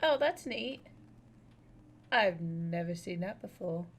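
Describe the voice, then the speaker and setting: A woman's voice: lowish voice, takes it slowly. A woman doing casual live game commentary, chatting to her viewers in an informal, rambling way. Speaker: live streamer. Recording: steady audible background noise.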